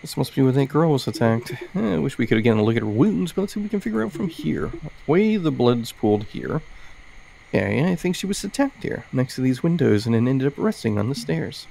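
A man's voice: medium pitch, big pitch swings, fairly quick, gravelly, mellow, a little hiss or hum, low-quality recording. A man reading an eager, curious young investigator's lines from a mystery visual novel, upbeat and inquisitive.

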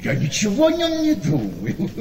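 An actor playing a cynical, mocking gentleman in a radio play, with a deliberate, sardonic, theatrical delivery. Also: high-pitched, wildly swooping pitch, creaky, heavily acted. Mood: mocking, worldly. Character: cynical, mocking gentleman needling a friend's young wife. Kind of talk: Russian classic radio play acting. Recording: reverberant hall-like studio, faint noise.

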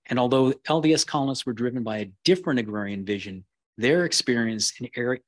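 A man giving a keynote history lecture, reading in a measured way with occasional restarts. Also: mid-range voice, dry recording. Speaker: keynote speaker.

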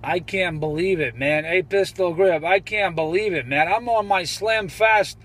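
A man's voice: sits high, measured pace, a bit bright. A man bantering boastfully as a CB radio operator, repeating his catchphrases.

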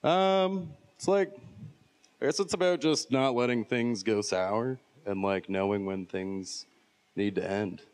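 A man's voice: on the low side, a little slow, darkish tone, clean tone. A man, a musician, answering interview questions onstage hesitantly with many fillers. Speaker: musician guest. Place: museum event room with live audience. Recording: long hall reverb.

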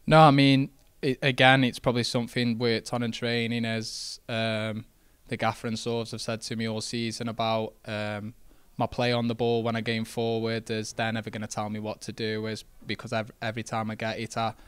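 A young man, a footballer answering post-match interview questions in a relaxed, modest way, with many fillers and restarts. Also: on the low side, moderate pace, smooth, no echo. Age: young adult. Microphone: handheld interview mic.

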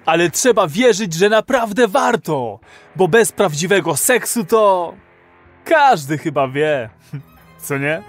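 A persuasive rebel in an audio drama, high-voiced and very animated. He talks slowly and emphatically, urging his friend to act. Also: soft background music. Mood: challenging.

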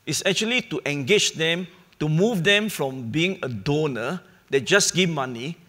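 A middle-aged man, a charity leader speaking on a conference panel, in an earnest, measured way with pauses and restarts, on a lapel mic. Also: on the high side, lively intonation, bright, forceful tone. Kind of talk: panel discussion. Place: conference hall stage.

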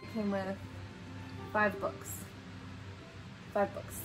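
A woman's voice: medium pitch, level tone, drawn-out words, poor audio. A woman in her thirties chatting informally and explaining things to camera as the host of a family homeschooling vlog, recorded indoors at home. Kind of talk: casual family vlog chat, showing items to camera. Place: home room with bookshelf, indoors. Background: music.

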